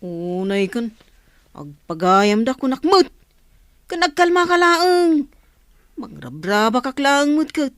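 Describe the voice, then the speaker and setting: A woman's voice: high-pitched, big pitch swings, takes it slowly, long pauses, a bit bright, dry recording, studio quality, heavily acted. A woman playing a level-headed male buddy in an audio drama, questioning and admonishing. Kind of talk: Ilocano audio drama acting. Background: faint music.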